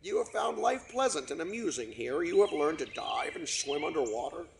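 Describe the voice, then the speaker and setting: A man's voice: a little high, lively intonation, normal speed, gravelly, noisy background. A man voicing a pompous, long-winded father trumpeter swan who makes grand speeches to his children.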